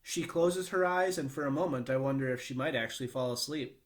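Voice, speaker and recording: A man giving an expressive read-aloud narration of a children's novel. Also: mid-range voice, fairly quick.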